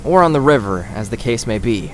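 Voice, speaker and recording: A man's voice: medium pitch, animated, fairly quick, slight background noise, lightly acted, rough recording. A man playing an earnest, cautious dragon-shifting hero in a fantasy audio drama. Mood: decisive, cautious.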